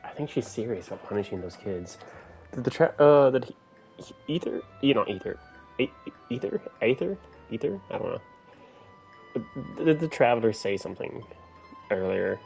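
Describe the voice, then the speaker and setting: A man giving casual play-along commentary as a game streamer, halting as he thinks aloud. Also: medium pitch, animated, vocal fry, darkish tone, faint music behind.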